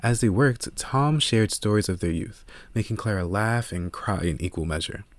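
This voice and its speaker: A man reading scripted audiobook narration in a measured, earnest way, close to the microphone. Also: fairly low pitch, expressive, no echo.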